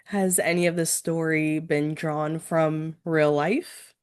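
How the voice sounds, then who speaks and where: A woman's voice: sits low, fairly even intonation, slow pace, a little mellow, clean tone. A woman interviewing a guest, friendly, laughing lightly as she asks. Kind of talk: interview questions.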